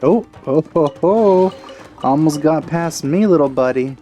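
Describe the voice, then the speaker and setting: A man's voice: a little high, animated, unhurried, dark tone, dull audio. A man giving casual, offhand, joking commentary as he plays through a video game. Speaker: let's play gamer. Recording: game music behind commentary. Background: game music.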